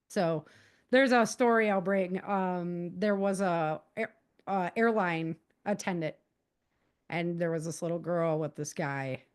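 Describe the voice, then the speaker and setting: A middle-aged American woman giving conversational interview answers in an earnest, emphatic and informal way. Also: lowish voice. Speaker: podcast guest, author and self-defense teacher.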